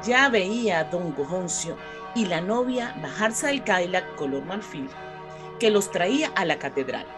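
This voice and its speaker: A woman's voice: on the low side, very animated, a little slow, music in the background. A woman with a Venezuelan accent narrating a melodramatic radio soap, telling the story dramatically. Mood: storytelling.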